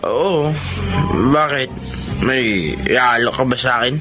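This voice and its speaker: A man's voice: mid-range voice, sing-song, slow, music in the background. A man acting an aggrieved, weary husband and father in a Tagalog radio drama, with emphatic delivery. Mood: glum, subdued.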